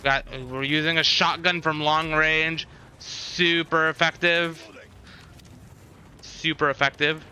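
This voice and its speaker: A man's voice: a little high, level tone, slow, pushed and bright, slight background noise. A man chatting casually to viewers as a livestreamer, giving commentary with sarcastic, unimpressed asides.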